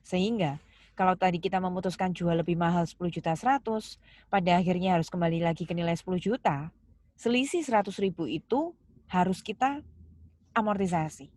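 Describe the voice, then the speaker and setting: A woman's voice: on the low side, brisk, a little mellow, no room sound. A woman giving a step-by-step accounting lesson, didactic, posing questions and stressing key terms. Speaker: accounting lecturer. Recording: clear background noise, keyboard clicks.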